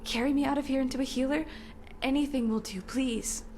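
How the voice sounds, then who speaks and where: A young woman playing an earnest, sweet runaway princess in a video game, with a soft, sometimes breathy delivery. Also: high voice, fairly even intonation, a little fast, slight background noise. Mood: pained, pleading. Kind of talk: video game character dialogue.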